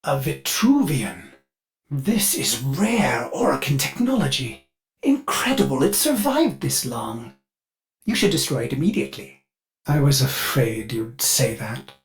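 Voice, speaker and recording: A man's voice: medium pitch, sing-song, unhurried. An actor playing a fussy, devoted male ship AI in a video game, eager and anxious, with a polite, theatrical manner. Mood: curious, wary. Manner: polite, theatrical, refers to self in third person. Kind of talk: video game companion dialogue.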